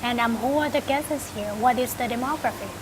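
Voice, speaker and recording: A woman's voice: on the high side, brisk, background noise. A woman TV host narrating a travel feature and asking interview questions in a polished presenter's manner.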